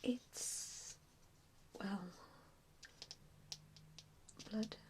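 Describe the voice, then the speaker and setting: A woman whispering an ASMR roleplay as a gentle vampire mentor, with a hesitant, reassuring and softly spoken manner. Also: drawn-out words. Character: gentle, self-doubting female vampire mentor to new recruits.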